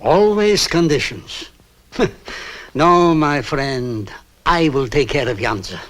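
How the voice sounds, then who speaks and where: An older actor playing an aging refugee man, grimly set on revenge, calm and quietly ironic. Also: a little high, slow pace. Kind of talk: 1960s TV crime drama acting.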